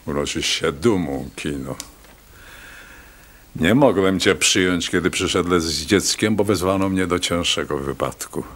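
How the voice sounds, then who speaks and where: Haughty doctor, radio drama, very deep voice, unhurried, ominous, slyly threatening. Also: male voice, fairly bright tone. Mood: condescending, threatening. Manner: condescending, ominous, deliberate. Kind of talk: radio play acting.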